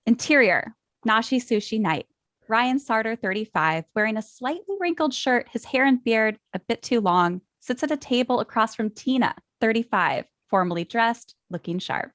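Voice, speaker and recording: A woman with a slightly high voice narrates scripted stage directions at a table read. The sound is very dry, with no room around her. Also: lively intonation, moderate pace, a bit bright, dry recording.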